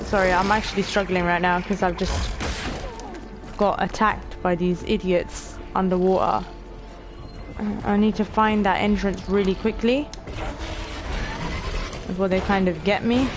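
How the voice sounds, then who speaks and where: A woman in her late teens with a stuffy nose, casually narrating a game stream, chatty and excitable, swearing offhand and talking to her viewers. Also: medium pitch, normal speed, pauses often, over music. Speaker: gaming streamer. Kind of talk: casual let's-play commentary.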